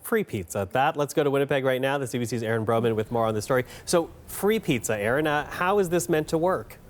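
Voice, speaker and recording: A male news anchor with a medium-pitched voice. He presents conversationally, introducing stories and putting questions to reporters. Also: quick pace.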